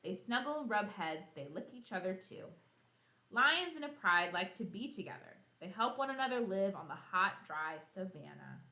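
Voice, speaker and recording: Female elementary teacher for kids' read-aloud: animated pitch, explanatory asides, roomy echoing recording. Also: moderate pace. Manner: expressive, explanatory, thinks aloud for young pupils.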